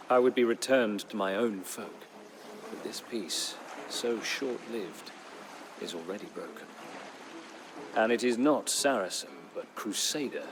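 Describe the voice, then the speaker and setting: An actor playing a weary captive Norman knight who chronicles his captivity in solemn, measured storybook narration for a strategy game. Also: medium pitch, background noise, close to an everyday voice. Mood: weary, somber. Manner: solemn, measured, literary delivery with dramatic pauses. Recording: studio narration over clear background music.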